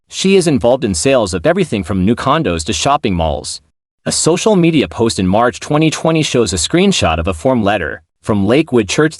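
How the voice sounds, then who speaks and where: Guy reading a news script, pitch moves around a lot, really dry close sound. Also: no echo. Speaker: news narrator.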